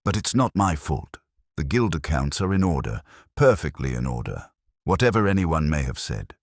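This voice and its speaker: A man's voice: rumbling low, very animated, moderate pace, no echo, studio quality, heavily acted. A man voicing a nervous, evasive guild treasurer, agitated and over-explaining, in a mystery story. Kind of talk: audiobook mystery character voice.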